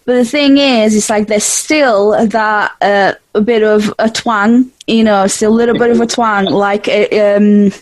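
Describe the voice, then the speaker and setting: A woman's voice: medium pitch, fairly even intonation, measured pace, hardly any pauses, poor audio. A woman in her thirties from Portugal with a Portuguese accent tinged by Yorkshire, hosting a casual podcast chat, talking chattily with frequent laughs and restarts. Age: 30s. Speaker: podcast host. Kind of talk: casual conversational interview.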